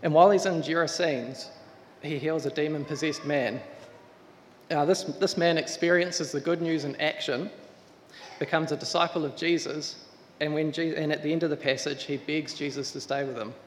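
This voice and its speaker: Brief: earnest church committee man, slightly high voice, long hall reverb, faint room hum. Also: steady tone. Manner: earnest, measured, with restarts. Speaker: search committee member. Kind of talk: church address.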